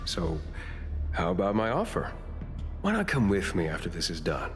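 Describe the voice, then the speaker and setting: A man's voice: low voice, wildly swooping pitch, normal speed. A man voicing a brusque, self-assured schemer making shady deals in a video game. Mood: brusque, scheming. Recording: long reverb, music behind.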